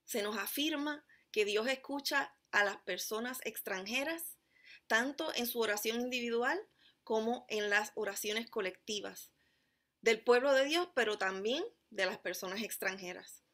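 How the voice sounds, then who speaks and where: A woman from Puerto Rico with a Puerto Rican Spanish accent, delivering a sermon as pastor in a measured, emphatic way, slowing down on key phrases. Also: fairly high pitch, a bit bright. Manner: measured, emphatic preaching with deliberate slowed phrases.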